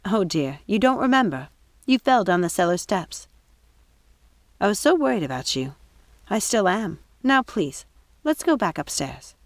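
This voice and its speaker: A woman's voice: mid-range voice, very animated, measured pace, pauses often, no room sound. A woman voicing a cunning witch who fakes sweetness and concern. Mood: falsely sweet.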